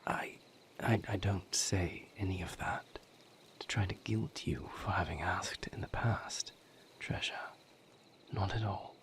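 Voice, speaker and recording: A brooding, tender male vampire lover, acted by a man, speaks in a low, breathy whisper for an intimate audio roleplay. He moves slowly and hesitantly through formal, careful phrases, pausing as he confides in his beloved. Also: a bit bright. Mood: apologetic, tender.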